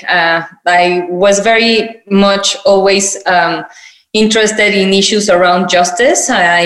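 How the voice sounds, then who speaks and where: A woman from Mexico tells her own story in a thoughtful, hesitant way. Her voice sits a little low and carries a noticeable room echo. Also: a bit bright. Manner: thoughtful, hesitant, many fillers. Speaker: guest. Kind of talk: personal introduction in a conversation.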